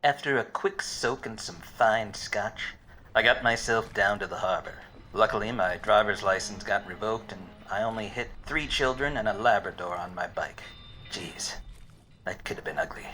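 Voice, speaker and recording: A man playing a wisecracking, obtuse private detective in a deadpan 1940s radio noir parody. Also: fairly low pitch, normal speed, low-quality recording. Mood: wry, deadpan. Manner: deadpan, hardboiled.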